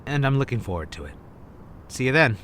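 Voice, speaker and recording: A man's voice: medium pitch, big pitch swings, fairly quick, fairly bright tone, a little hiss or hum. An actor playing a smug, teasing male secret agent in an anime-style audio drama. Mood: teasing, confident. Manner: teasing, cocky.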